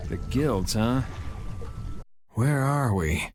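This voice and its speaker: A man's voice: medium pitch, expressive, drawn-out words. A man voicing a cool, independent young rebel swordsman in a video game, laid-back and wry. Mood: cool, curious.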